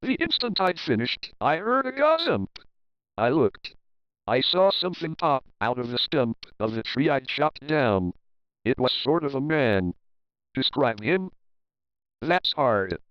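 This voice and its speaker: A man narrating a rhyming children's story as an expressive, theatrical read-aloud with deliberate phrasing. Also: mid-range voice, clean tone, no room sound, muffled recording.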